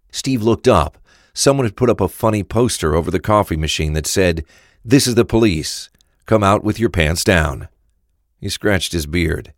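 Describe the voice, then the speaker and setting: A man's voice: low-pitched, no room sound, studio quality. A man reading scripted narration for a crime audio drama.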